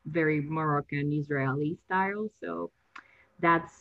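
A woman's voice: sits low, steady tone, measured pace, gravelly. A young woman, a native Spanish speaker, talking as a guest in a casual interview, a bit nervous, laughing and restarting her sentences. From Latin America. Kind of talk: conversational interview. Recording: dull lo-fi sound.

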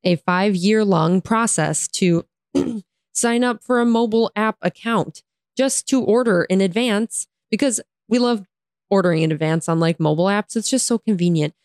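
A woman with an American accent and a hoarse, raspy voice, casually telling a story in slangy, sarcastic, exasperated style and mimicking dialogue. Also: mid-range voice, normal speed, darkish tone, dry recording, clean studio sound. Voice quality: raspy, tired voice. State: hoarse from talking all day. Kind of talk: casual chat, animated storytelling.

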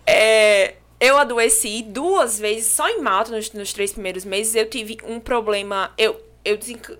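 A young Brazilian woman from João Pessoa chats on a podcast, telling her story with lively energy. Her voice is bright and fairly high, with a clear, forward ring. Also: unhurried. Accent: Brazilian Portuguese, from João Pessoa. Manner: lively, animated storytelling with restarts and laughs. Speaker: podcast guest. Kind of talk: casual podcast chat.

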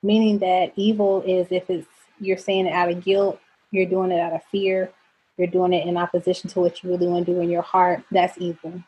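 A middle-aged woman, a coach and speaker, talking earnestly as a podcast guest about faith and boundaries, goofy and quick to laugh. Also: fairly low pitch, level tone, mellow, breathy edge, rough recording. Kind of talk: faith-based personal talk.

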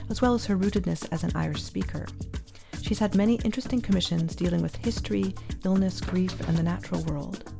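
A woman podcast host with a lowish, gravelly voice reads her introduction clearly and evenly. Music plays plainly behind her. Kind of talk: scripted intro and interview questions.

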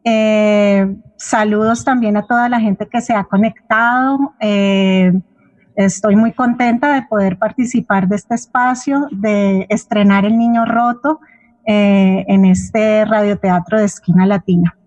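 A Colombian woman answering as an interview guest, conversational and measured, pausing between short phrases. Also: mid-range voice, steady tone, darkish tone, no room sound. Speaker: guest playwright and theatre teacher.

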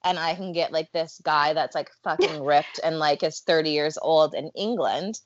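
Lowish-voiced woman gossiping about tv, says like a lot, dry muffled sound. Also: moderate pace, no room sound. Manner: chatty, lots of "like" fillers. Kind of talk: casual chat about a reality show.

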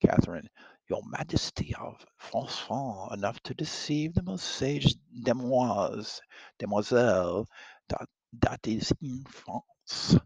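A man reading the part of a shy young French princess, halting and stumbling through broken French and English. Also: mid-range voice, animated, vocal fry, darkish tone, breathy edge, no room sound, heavily acted, rough recording. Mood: flustered.

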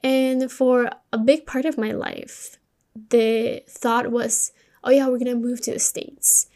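A woman from Colombia whose first language is Spanish hosts a podcast alone. Her voice sits fairly high and stays rather even in pitch. She talks candidly and informally, as if telling her own story to a listener. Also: slow pace. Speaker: podcast host. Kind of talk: personal storytelling, conversational monologue.

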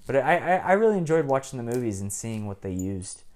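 A man's voice: medium pitch, very animated, fast, darkish tone. A man in casual chat, retelling cartoon plots at length with many ums and restarts.

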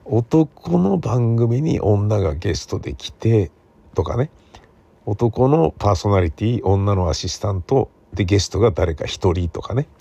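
A man with a low, creaky voice talks casually by himself, thinking aloud. His tone is husky and rough, and he rambles, correcting himself as he goes and tagging phrases as if seeking agreement. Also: expressive, measured pace, dark tone, muffled recording. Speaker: radio drama writer and theatre playwright.

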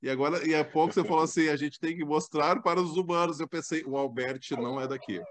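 A man's voice: a little high, quick pace. A man from southern Brazil, hosting a podcast in casual, enthusiastic chat. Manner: warm and enthusiastic, animated outbursts. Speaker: podcast host.

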